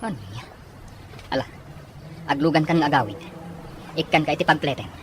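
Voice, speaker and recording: A man's voice: on the high side, brisk, background noise, close to an everyday voice. A man playing a concerned, dutiful father in an Ilocano drama, speaking earnestly. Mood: worried, caring. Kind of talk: Ilocano radio drama acting.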